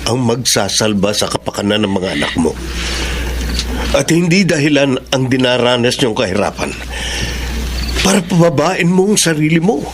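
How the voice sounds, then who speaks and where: A man's voice: mid-range voice, big pitch swings, moderate pace, long pauses, noisy background, close to an everyday voice. A man with a Filipino accent playing a kindly, principled older benefactor in a radio drama. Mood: earnest.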